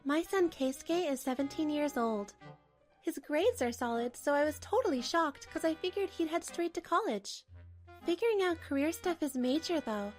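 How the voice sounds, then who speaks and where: Very high-voiced woman narrating a manga dub. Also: animated, moderate pace, soft background music. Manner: chatty, dramatic inner monologue. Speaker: narrator and wife.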